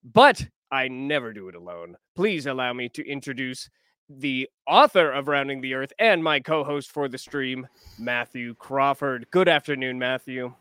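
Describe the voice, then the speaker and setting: Lively upbeat male podcast host. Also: medium pitch, normal speed, clean tone, dry recording. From Canada. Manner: scripted, upbeat introductions with playful jokes. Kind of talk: podcast conversation.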